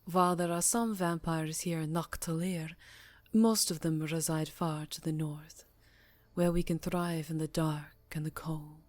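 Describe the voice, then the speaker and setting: A woman voicing an elegant, imperious yet tenderly seductive vampire countess in an intimate ASMR roleplay, speaking formally and softly, breathy and close to the mic, drifting into whispers. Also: low-pitched, steady tone, measured pace, dry recording. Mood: indulgent, wistful. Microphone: close microphone.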